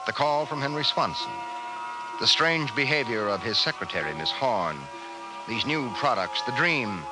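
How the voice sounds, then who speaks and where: Casting: male narrator for vintage sci-fi radio drama, ominous, animated intonation over prominent music. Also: mid-range voice, moderate pace, natural voice, poor audio. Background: loud dramatic music.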